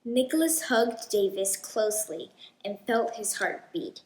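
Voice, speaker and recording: A woman hosting a children's read-aloud, telling a storybook in a deliberate, expressive way. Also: mid-range voice, reverberant, low-quality recording.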